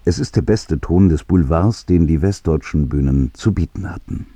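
A man's voice: very deep voice, moderate pace, dark tone, slightly rough, dry recording. A man presenting a radio programme, reading a scripted introduction to an archive play.

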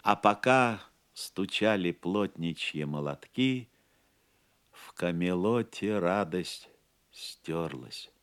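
A man's voice: sits low, expressive, slow pace, clear, resonant tone. A man narrating a legend as a dramatic scripted story for an audio play.

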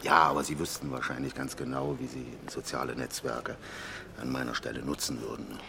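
An actor playing a middle-aged conservative politician in a radio drama, reserved and courteous, speaking in formal, hesitant phrases. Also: male voice, extremely low pitch, big pitch swings, a little fast, vocal fry, noisy background, heavily acted. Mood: courteous, probing. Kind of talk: naturalistic radio drama dialogue.

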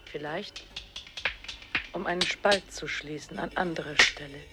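A man's voice: fairly high pitch, measured pace, heavy background noise, lightly acted. A man playing a mournful chorus narrator in a radio drama, declaiming poetry in short fragments. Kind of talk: slow poetic radio drama recitation.

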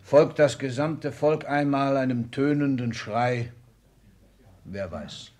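An actor playing a grave political thinker in a German radio drama, husky and weightily rhetorical. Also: male voice, mid-range voice, takes it slowly, darkish tone, lightly acted. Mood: grave, pondering. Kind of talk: 1960s German radio play acting.

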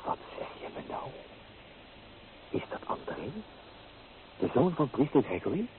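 An actor playing a teasing, free-spirited young painter in an old Dutch radio play, with theatrical delivery. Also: male voice, mid-range voice, big pitch swings, unhurried. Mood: teasing, surprised. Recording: muffled, noisy old radio recording.

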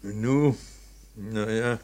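An actor playing a stern, embittered older painter in a German radio play, gravelly and husky, speaking in emphatic repetitions. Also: male voice, lowish voice, very slow, a little mellow. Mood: brooding, troubled. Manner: blustery, emphatic, repetitive, muttering asides.

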